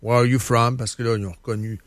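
A man's voice: mid-range voice, little pitch movement, quick pace, no room sound. Man, Quebec French accent, talk radio host, chatty storyteller, dry studio sound. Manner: rambling, storytelling, many fillers. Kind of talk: casual talk radio chat.

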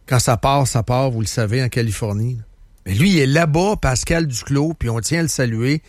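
A man's voice: mid-range voice, a little fast, no echo. Man, Quebec French accent, talk radio host, chatty storyteller, dry studio sound. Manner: rambling, storytelling, many fillers. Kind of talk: casual talk radio chat.

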